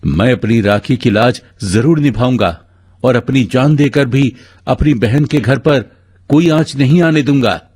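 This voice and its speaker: A man voicing a loyal, protective werewolf brother in a children's story, with a husky voice and an earnest, solemn manner. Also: medium pitch, measured pace, darkish tone. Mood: solemn resolve. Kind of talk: dramatised children's story voice acting.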